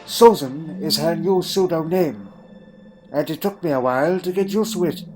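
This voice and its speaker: A man playing an elderly, secretive alien time traveller in a fan audio drama, telling his story gravely and deliberately with pondering hums. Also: on the high side, natural voice, poor audio.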